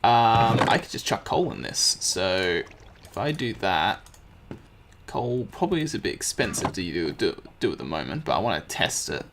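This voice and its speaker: A man with a slightly croaky voice, casually commenting on his gameplay and thinking aloud as he crafts. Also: deep voice, sing-song, moderate pace, background noise. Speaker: let's play host.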